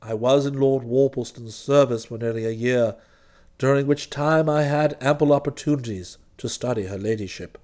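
Guy doing a deep calm english butler type, super formal n deferential, storybook reading. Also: natural voice. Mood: frank, composed. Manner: formal, measured, circumlocutory. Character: unflappable, deferential English valet, discreetly superior.

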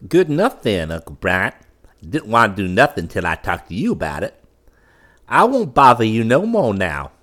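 A nervous, fawning young fop, as a man puts him on, stammering and eager to please. His voice is pushed well up and keeps tipping into a higher register when he is unsure. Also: wildly swooping pitch, normal speed, a bit bright, heavily acted.

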